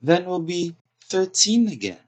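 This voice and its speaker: A woman's voice: lowish voice, very animated, unhurried. A woman playing a practical grown daughter of a society hostess in a stage comedy. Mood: matter-of-fact. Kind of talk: comedic stage-play acting.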